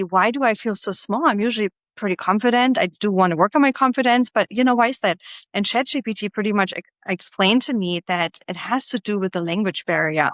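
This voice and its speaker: A woman's voice: mid-range voice, no room sound. Woman, podcast host, 30s, talkative, laughs a lot, dry close recording. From Germany. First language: German. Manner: chatty, rambling, laughs often. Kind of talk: casual interview chat.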